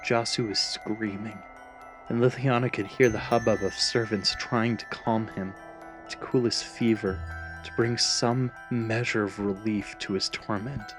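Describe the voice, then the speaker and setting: A man's voice: lowish voice, fairly even intonation, a little slow, over music. A man narrating a scripted fantasy story in a dramatic storytelling style.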